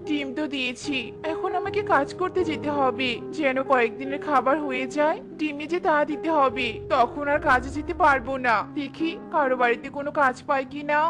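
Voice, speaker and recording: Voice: high voice, brisk, close to an everyday voice. A performer voicing a grieving, humble female little bird in a cartoon, with music behind. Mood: humble, pleading.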